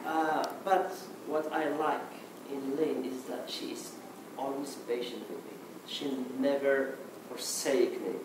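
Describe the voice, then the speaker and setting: A man from Morocco whose first language is Arabic, speaking English with a Moroccan accent in a hesitant conference talk, restarting often and filling pauses with "okay". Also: mid-range voice, fairly even intonation. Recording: echoey hall, mic far off, noisy.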